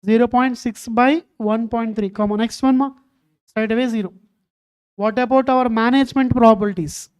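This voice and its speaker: Mellow-voiced woman teaching. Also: a little high, steady tone, normal speed, clear, resonant tone. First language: Telugu. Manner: keeps quizzing students, calling them "ma". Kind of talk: online exam-prep lecture.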